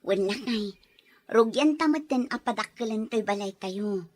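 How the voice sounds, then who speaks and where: A woman's voice: mid-range voice, unhurried, a little mellow, no echo, lightly acted. A woman playing an affectionate, teasing young wife in a radio drama. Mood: hopeful.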